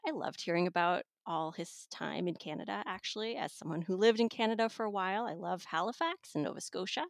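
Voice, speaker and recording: A woman giving a conversational book review as a guest, thoughtful and pausing to find her words. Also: on the low side, creaky, dry recording, clean studio sound. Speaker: magazine editor reviewing an audiobook as guest.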